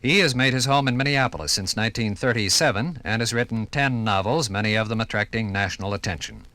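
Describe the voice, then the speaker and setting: An American man narrating a scripted 1950s radio documentary with formal, measured, dramatic phrasing. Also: on the low side, sharp tone, no echo.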